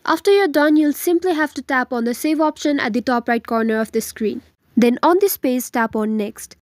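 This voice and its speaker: A woman with a notably high voice narrates a how-to guide. She delivers scripted instructions in an orderly, step-by-step way. The sound is dry and close, with very little room around it. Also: moderate pace, dry recording. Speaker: tutorial host.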